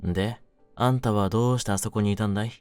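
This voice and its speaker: Man acting a low-voiced, world-weary young mafioso. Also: fairly even intonation, quick pace, no room sound, soft background music, lightly acted. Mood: gentle, lonely. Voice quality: low-pitched voice. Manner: rough, streetwise masculine speech, brooding and soft-spoken. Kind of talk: intimate Japanese situation-voice roleplay, ASMR-like.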